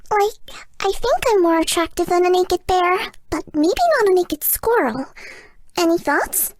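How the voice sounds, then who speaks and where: A woman voicing a shy, sweet, excitable young female fox spirit for a video game, with a cutesy girlish voice. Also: very high voice, unhurried, no echo. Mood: sweet, chatty. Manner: bashful, earnest, playful. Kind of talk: video game character voice lines.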